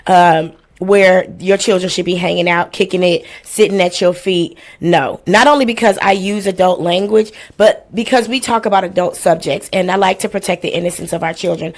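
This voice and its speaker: A woman's voice: lowish voice, steady tone, normal speed, few pauses. A woman in her forties hosting a casual livestream, chatting in a blunt, playful, slangy way and swearing freely. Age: 40s. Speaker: livestream host. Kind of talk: casual livestream chat with house rules and shout-outs.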